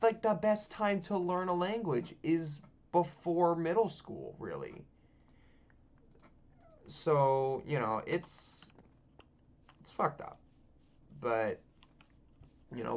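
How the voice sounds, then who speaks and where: A man's voice: fairly high pitch, sing-song, slow, long pauses. A man, chatting loosely over gameplay, full of ums, likes and casual swearing. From Texas. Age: 20s. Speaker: gaming channel host.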